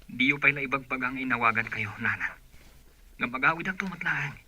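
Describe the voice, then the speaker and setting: A man's voice: medium pitch, lively intonation, moderate pace, bright, forceful tone. A man playing a guarded, reassuring grown son in an Ilocano radio drama, with a Filipino accent. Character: reassuring grown son, hiding trouble from his mother.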